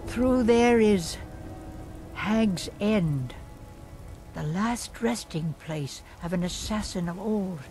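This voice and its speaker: An actress playing a weary old fortune-teller in a fantasy game, with halting, portentous phrasing over music. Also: female voice, mid-range voice, lively intonation, mellow. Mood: entranced, ominous. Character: weary old fortune-telling seer woman, folksy, eerie in trance. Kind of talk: fantasy video game acting.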